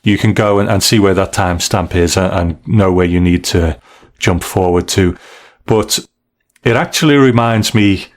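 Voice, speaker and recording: A man's voice: low voice, normal speed. A man hosting a podcast, talking casually and recapping stories with tag questions. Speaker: podcast host.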